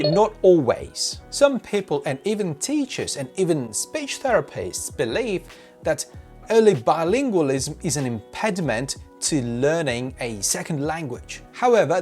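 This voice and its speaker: A man, explaining to camera in a home studio with animated emphasis. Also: a little high. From Cyprus. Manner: animated, gesturing, rising emphasis on key words. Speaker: educational YouTuber. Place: small decorated home studio, indoors.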